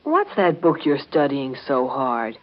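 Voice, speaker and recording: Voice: mid-range voice, wildly swooping pitch, unhurried. A performer playing a cocky teenage twin brother in a 1930s radio soap opera, teasing in mocking, slangy talk. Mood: nosy. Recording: dull, band-limited 1930s radio broadcast.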